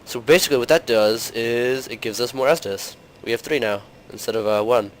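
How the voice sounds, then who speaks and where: A young man hosting a gaming channel, talking through gameplay in a casual, rambling way full of fillers, his voice slightly hoarse from a cold. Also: mid-range voice, fairly quick, slight background noise, low-quality recording.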